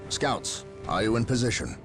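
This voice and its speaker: An actor playing a stern, resolute space marine captain in a video game, giving formal orders with authority. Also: male voice, lowish voice, very animated, moderate pace, music in the background. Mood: commanding. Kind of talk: dramatic game voice acting.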